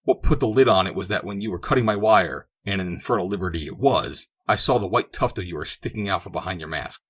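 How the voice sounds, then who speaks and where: A man's voice: deep voice, fast, a bit bright, slightly rough. A man doing an indignant young man. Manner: indignant and emphatic. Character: angry young man confronting a friend.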